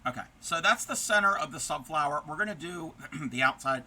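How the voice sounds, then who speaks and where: A man's voice: fairly high pitch, expressive, fairly quick, bright, forceful tone. A man hosting a crochet livestream, chatting casually and answering viewers while he explains stitches step by step, his voice turning scratchy.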